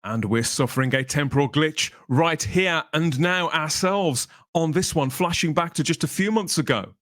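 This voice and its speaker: Higher-voiced English man hosting a podcast. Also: normal speed, fairly bright tone, no echo, studio quality. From Birmingham, England. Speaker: podcast host. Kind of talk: upbeat podcast presenting and chat.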